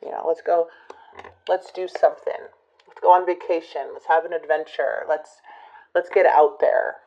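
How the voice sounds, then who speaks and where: A woman giving a casual tarot reading to her viewers, musing and hesitant, her voice a bit hoarse from a recent illness. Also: fairly low pitch, little pitch movement, normal speed, dark tone, muffled recording. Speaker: tarot reader.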